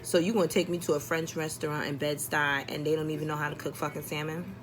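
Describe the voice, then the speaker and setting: A woman with a fairly low voice tells a personal story in a casual vlog style. Her delivery is expressive and comedic, with plenty of exasperation and swearing. There is some noise behind her voice. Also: fast talker. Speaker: vlogger telling a story.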